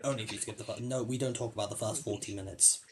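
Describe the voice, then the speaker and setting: A young man hosting a casual film discussion podcast, hesitant with many restarts and sudden jumps in loudness. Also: fairly low pitch, fairly even intonation, fast talker, a little hiss or hum. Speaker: podcast host.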